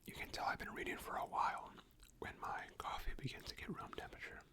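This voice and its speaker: A reader whispering a storybook aloud in ASMR style, soft and unhurried, with chatty asides. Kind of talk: whispered ASMR book reading.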